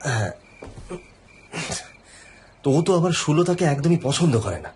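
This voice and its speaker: An actor playing a harried, placating, gossipy husband in an audio horror drama, speaking colloquially and hesitantly with sighs and fillers. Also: male voice, on the high side, very animated, normal speed, darkish tone, a little hiss or hum. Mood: uneasy, gossipy. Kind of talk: Bengali audio horror story acting. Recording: background music under the voice.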